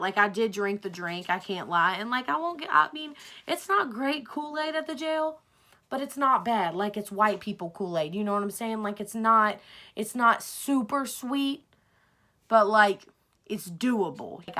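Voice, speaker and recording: Bright-voiced young woman telling a casual story. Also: medium pitch. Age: young adult. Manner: informal slangy talk with frequent swearing, acts out dialogue. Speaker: vlogger telling a personal story. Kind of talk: casual, chatty storytime monologue.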